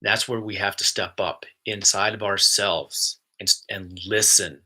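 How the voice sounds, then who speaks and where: A man talking casually as a spiritual host, chatty and easygoing, then slow and soft when he guides a relaxation exercise. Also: on the low side, level tone. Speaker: spiritual host and intuitive channeler.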